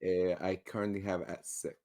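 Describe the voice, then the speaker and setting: A man with a gravelly, husky voice, chatting casually as a player in a tabletop roleplaying game. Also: sits low, little pitch movement, normal speed, mellow. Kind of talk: casual gaming chat.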